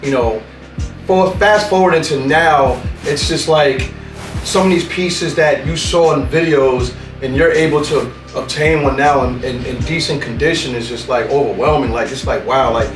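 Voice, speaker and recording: A man hosting a casual interview show, talking in laid-back street slang and giving shout-outs. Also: mid-range voice, normal speed, reverberant, recorded from a distance, music in the background. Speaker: host.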